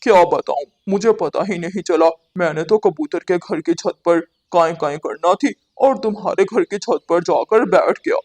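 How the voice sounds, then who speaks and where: A woman's voice: lowish voice, normal speed, hardly any pauses, a little mellow, natural voice. A woman voicing a sly, cheeky male crow in a cartoon, repeating words in feigned innocence. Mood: feigning innocence. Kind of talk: Hindi cartoon story dialogue.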